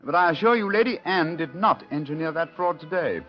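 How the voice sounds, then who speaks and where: An actor playing a shrewd, courteous British gentleman detective in an old radio mystery, suave and grandly formal, with an amused air. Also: a little high, lively intonation, fairly quick, close to an everyday voice. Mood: amused, triumphant. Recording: muffled vintage radio recording with music.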